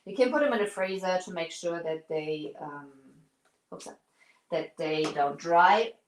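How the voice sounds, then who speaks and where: A woman from Germany with a German accent, English not her first language, hosting a casual live painting stream, pausing to search for words and greeting viewers. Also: on the low side, echoey room. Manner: searches for words, restarts often, chats to viewers. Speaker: painting livestream host.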